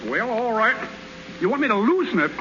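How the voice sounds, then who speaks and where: An actor playing a brash, boastful and naive young rookie pitcher with folksy slang in a 1930s radio comedy. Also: male voice, big pitch swings, fairly quick, exaggerated voice. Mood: cocky. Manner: cocky, loud, folksy slang. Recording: muffled, noisy 1930s radio broadcast recording.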